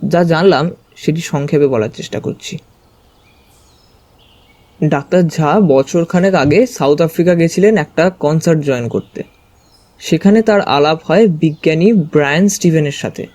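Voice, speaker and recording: A man's voice: a little high, warm tone. A man narrating a story dramatically in the first person.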